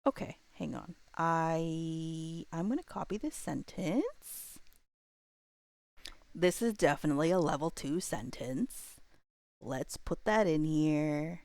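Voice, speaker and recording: A woman's voice: deep voice, lively intonation, slow, creaky, darkish tone, no echo. A woman livestream host chatting casually, rambling and thinking aloud in an informal way.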